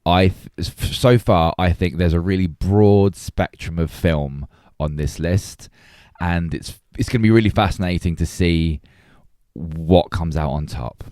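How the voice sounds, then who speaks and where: Deep-voiced man chatting on a podcast. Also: a little slow, darkish tone, no echo, clean studio sound. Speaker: film podcast co-host. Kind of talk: casual chat.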